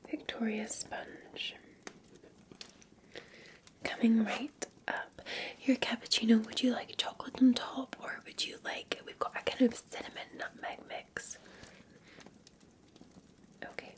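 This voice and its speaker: A Scottish woman in a gentle, unhurried ASMR roleplay, whispering breathily as a café barista talking to a customer. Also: on the high side, level tone.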